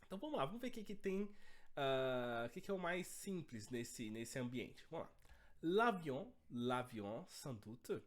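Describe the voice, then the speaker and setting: Hoarse, animated man teaching a lesson. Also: medium pitch, unhurried. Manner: lively, animated, checks in with students often. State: hoarse from a cold. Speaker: French teacher. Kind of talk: online language lesson.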